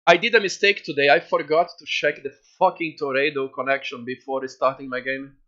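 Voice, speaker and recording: A man with a Brazilian Portuguese accent, a game streamer chatting casually and excitedly with viewers, swearing freely. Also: medium pitch, fairly quick, highs cut off.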